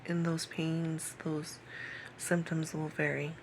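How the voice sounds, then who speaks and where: A woman in her late thirties talking personally to camera in a halting way, repeating phrases and sometimes dropping to a whisper. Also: low voice, flat delivery, slight background noise, poor audio. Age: late 30s. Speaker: vlogger.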